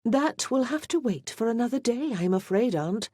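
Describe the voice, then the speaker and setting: A woman reader plays a gracious countess in a period romance audiobook. She is tactful and apologetic but firm, in a raised, husky voice with lively intonation. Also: fairly high pitch, moderate pace, no room sound, clean studio sound, pitched above the performer's own voice. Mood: politely apologetic.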